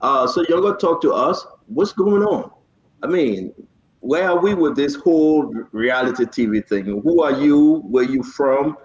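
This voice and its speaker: A man's voice: fairly high pitch, animated, a little slow. A man hosting a live talk show in a conversational way, often repeating himself as he directs his guests. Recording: roomy echo, dull lo-fi sound.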